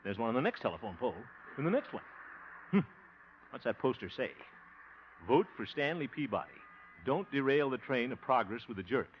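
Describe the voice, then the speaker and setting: A man's voice: mid-range voice, sing-song, a little fast, highs cut off, natural voice. An actor playing a good-natured, bumbling man running for mayor, laughing heartily, in a 1950 radio comedy. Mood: musing. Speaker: lead actor. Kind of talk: 1950s radio comedy acting. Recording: hissy restored 1950 radio recording.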